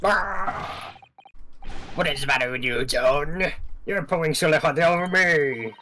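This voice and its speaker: Man playing an irritable, scolding gentleman. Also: a little high, a little slow, heavy background noise, natural voice. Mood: outraged. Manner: scolding, exclamatory. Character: irritable gentleman scolding his clumsy household companion. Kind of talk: fan dub of a video game.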